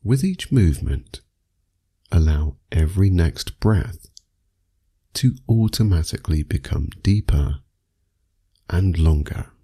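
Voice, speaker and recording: A man narrating a scripted guided meditation for sleep, speaking soothingly and in measured phrases with long pauses. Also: low-pitched, expressive, dark tone, breathy edge.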